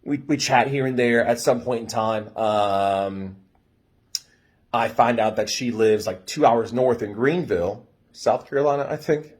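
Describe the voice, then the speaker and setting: A young American man casually telling a story in an animated way, swearing freely and acting out dialogue. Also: mid-range voice, unhurried, husky. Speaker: storyteller giving dating advice.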